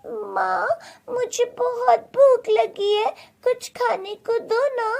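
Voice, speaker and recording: A woman's voice: extremely high pitch, steady tone, takes it slowly, vocal fry, darkish tone, pitched above the performer's own voice, exaggerated voice. A woman voicing a worried little village girl with a plaintive, childlike manner. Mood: hungry, pleading.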